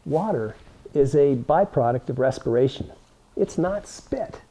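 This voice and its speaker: A man's voice: fairly high pitch, lively intonation, unhurried, warm tone, low-quality recording. A man, giving a plain-spoken, encouraging how-to demonstration to camera as an instructor. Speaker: science toy-making instructor. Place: indoor workroom with cabinets.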